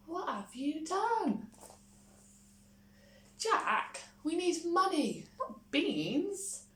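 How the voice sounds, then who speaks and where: A woman's voice: very animated, very slow, fairly bright tone, far from the mic, heavily acted. A woman voicing a poor, exasperated mother in a storybook, with emphatic delivery. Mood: scolding. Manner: high, scolding, emphatic. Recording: roomy echo, faint background noise.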